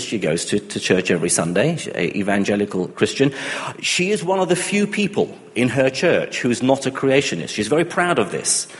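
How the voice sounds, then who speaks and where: Middle-aged man raised in Iraq, mid-pitched, thoughtful talker who restarts often, voice ringing in a big hall. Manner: thoughtful, many restarts and fillers. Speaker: speaker on humanism and atheism. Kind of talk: reflective personal talk.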